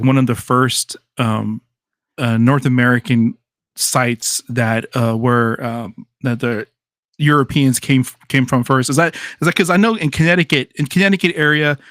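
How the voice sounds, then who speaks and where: Casting note: male podcast host, easygoing and chatty, mid pitch, dry close mic. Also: fairly bright tone, no echo. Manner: loose and chatty, laughs easily, many fillers. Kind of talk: casual live podcast chat.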